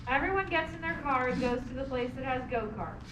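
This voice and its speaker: Female narrator for amateur stage reading, high voice, roomy and off-mic. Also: a little fast, muffled recording. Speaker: narrator and discussion leader. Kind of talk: reading stage directions for a play. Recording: echoey, noisy room, distant mic.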